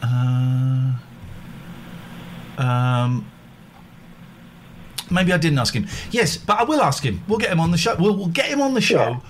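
A man co-hosting a casual late-night radio show, joking and rambling, now and then putting on a squeaky voice. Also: lively intonation, measured pace, pauses often, a little hiss or hum.

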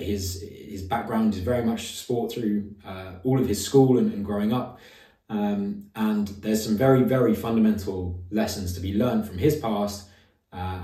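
A man recording an episode intro, measured and hesitant with ums, in a roomy, echoing space some distance from the mic. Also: fairly low pitch, warm tone. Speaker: podcast host.

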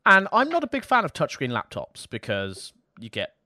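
A man's voice: fairly high pitch, wildly swooping pitch, normal speed, sharp tone, dry recording, clean studio sound. A young British man chatting casually about tech as a podcast co-host, jokey and self-deprecating, his voice a little strained. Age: young adult. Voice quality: slightly worn, tired voice.

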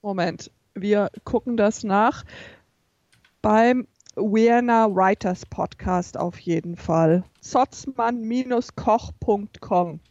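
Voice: mid-range voice, darkish tone, no room sound. Podcast co-host with a dull, dry sound. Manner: supportive, quick backchannel replies, corrects details. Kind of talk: casual chat.

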